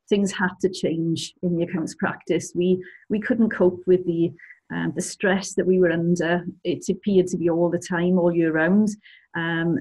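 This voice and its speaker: A woman in her fifties from South Wales with a South Welsh accent, an accountant answering interview questions over a video call, pausing often with ums. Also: on the low side, darkish tone, husky, rough recording. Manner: hesitant, with frequent ums. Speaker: accountant and practice owner.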